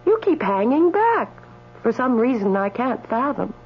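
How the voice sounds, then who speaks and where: An actress playing a sweet, coolly teasing spirit from the afterlife in a radio drama, matter-of-fact and coaxing. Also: female voice, on the high side, big pitch swings, a little slow, husky, heavily acted. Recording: dull radio broadcast, faint mains hum.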